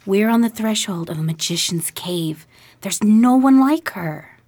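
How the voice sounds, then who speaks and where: A woman's voice: medium pitch, expressive, measured pace, darkish tone. A woman playing an eager, awestruck young lady in a radio drama, with a dramatic, pleading manner. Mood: awed, secretive.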